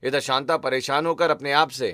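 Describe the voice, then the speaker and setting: A man's voice: mid-range voice, steady tone, brisk, bright, forceful tone. A man reading scripted narration for a cartoon family story.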